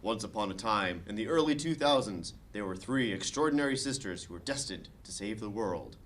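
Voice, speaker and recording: A man's voice: lowish voice, animated, fairly quick, a bit bright. A man reading stage directions and narration aloud as the narrator of a comedy script table read.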